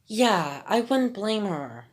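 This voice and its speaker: A woman's voice: mid-range voice, sing-song, slow. A woman who is sick and has a phlegmy throat, chatting casually while she plays a mobile game and apologizing for how her voice sounds. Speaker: gaming YouTuber.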